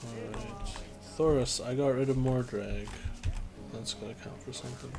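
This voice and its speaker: A man's voice: mid-range voice, fairly even intonation, normal speed, music in the background. A young man casually commentating on a game from his bedroom, offhand and self-deprecating. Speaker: let's play commentator. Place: bedroom at home.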